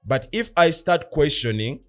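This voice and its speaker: A man's voice: fairly high pitch, very animated, measured pace, soft background music. A man in casual philosophical podcast talk, explaining earnestly and at length with rhetorical questions. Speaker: podcast co-host.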